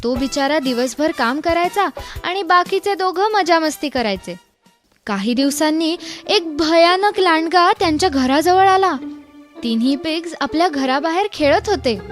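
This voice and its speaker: A female storyteller reads a children's tale in a high voice. It is scripted narration, with soft music playing behind her. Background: background music.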